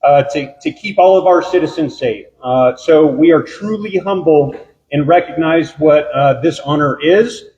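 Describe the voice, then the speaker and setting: A loud-voiced law officer speaks at a ceremony in his honor, halting and earnest. His voice sits fairly high for a man. The sound comes through a dull live feed. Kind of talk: ceremony speech.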